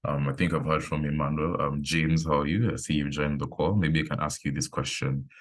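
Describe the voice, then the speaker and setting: A man with a very deep voice leading a live online coding lesson, thinking aloud with pauses and fillers. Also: steady tone. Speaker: coding instructor.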